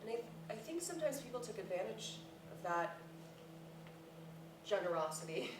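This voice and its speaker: A woman's voice: medium pitch, big pitch swings, moderate pace, close to an everyday voice. An actress playing a grieving, defensive middle-aged mother in a staged play reading, blunt and sardonic. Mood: tender, reflective. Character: grieving mother of a grown daughter, prickly and defensive. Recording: echoey noisy room, distant mic.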